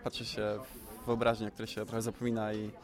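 A man's voice: fairly low pitch, completely flat delivery, a little fast. Man interviewed amid crowd noise. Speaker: audio drama creator being interviewed. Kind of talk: casual interview answers. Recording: busy crowd behind the voice. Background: crowd chatter.

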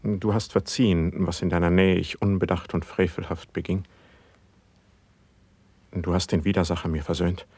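Earnest brooding poet in classic radio verse play, low raspy voice, solemn and fervent. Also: male voice, flat delivery, moderate pace, pauses often, a little mellow, no room sound. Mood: grateful, solemn. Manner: solemn, fervent, formal declamation. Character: earnest, brooding male poet, humble yet insistent. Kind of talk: classic German verse radio drama acting.

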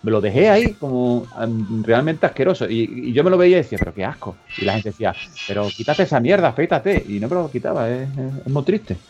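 A man's voice: mid-range voice, sing-song, fast, few pauses, a little mellow, highs cut off, faint music behind. A man from Spain hosting a comedic radio chat, joking and rambling as he reads out web searches. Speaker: radio show host.

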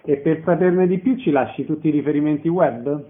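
A man's voice: a little high, brisk, phone-quality sound. A man asking short questions as an interviewer. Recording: band-limited radio or phone sound.

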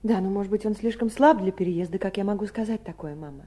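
An actress playing an eager, tender, innocent but spirited teenage girl in a 1970s Soviet radio drama, earnest and excitable. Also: mid-range voice, quick pace, close to an everyday voice. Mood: anxious, pleading.

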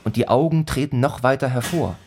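A man narrating a literary radio play in a deliberate, rhythmic way, with repeated phrases, as a stern teller of a bleak village tale. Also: mid-range voice, darkish tone, soft background music, lightly acted. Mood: intense, building.

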